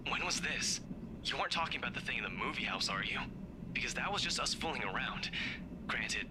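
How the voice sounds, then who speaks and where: A brisk, self-assured male investigator from a visual novel, acted by a man. He talks plainly and decisively in a medium-pitched voice. Clear ambient noise runs behind the words. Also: expressive, natural voice. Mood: questioning.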